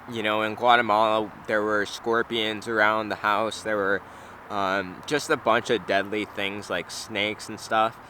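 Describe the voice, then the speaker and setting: A man's voice: on the low side, bright, forceful tone, a little hiss or hum. A young man of about nineteen, chatting casually and unscripted as a vlogger, rambling and hesitant with plenty of slang. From United States (Wisconsin). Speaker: travel vlogger. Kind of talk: casual unscripted vlog chat.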